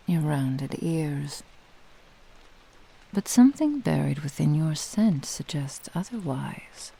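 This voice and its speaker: Seductive, menacing fox-spirit witch, played by a woman, for whispered ASMR: dark, gravelly, husky, slow purring threat. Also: deep voice, full character voice, rough recording. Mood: curious, teasing.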